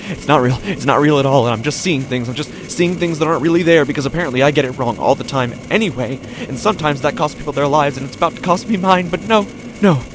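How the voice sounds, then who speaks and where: An actor playing an anxious, unraveling office worker, narrating a first-person horror audio drama in halting, intense short phrases. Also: male voice, a little high, background noise, close to an everyday voice. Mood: panicked denial.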